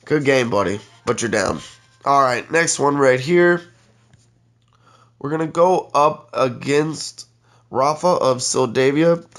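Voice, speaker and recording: A man's voice: mid-range voice, expressive, slow, a bit bright. A congested young man giving chatty play-by-play commentary on his own mobile game match, talking straight to his viewers. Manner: chatty, keeps addressing viewers as guys. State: congested, stuffed-up nose. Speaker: gaming channel host.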